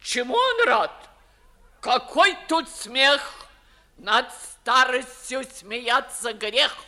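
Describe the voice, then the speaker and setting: Slow, grumbling old Moscow grande dame. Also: female voice, high voice, lively intonation, bright, forceful tone, heavily acted. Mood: indignant. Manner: theatrical, declamatory, drawn-out grumbling. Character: imperious grumbling 65-year-old Moscow noblewoman, blunt old aunt. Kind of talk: 1950s Russian classical stage acting.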